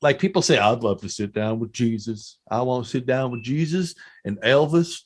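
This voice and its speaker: A man's voice: medium pitch, moderate pace. A man hosting a podcast, chatting casually in a salty, opinionated and effusive way. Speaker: podcast host. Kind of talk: casual interview chat.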